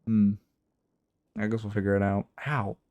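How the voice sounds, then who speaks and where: A man talking casually on a live stream into his streaming microphone. He thinks aloud, swears now and then, and speaks in a low voice with high inflections. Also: monotone, moderate pace, a little mellow, no room sound, dull audio. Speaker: game coach and streamer.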